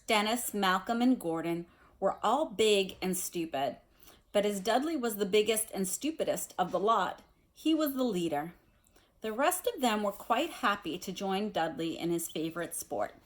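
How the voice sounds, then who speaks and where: A woman speaking as a teacher doing a read-aloud of a children's novel, explaining things in a careful, measured way. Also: mid-range voice. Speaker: teacher reading aloud to students.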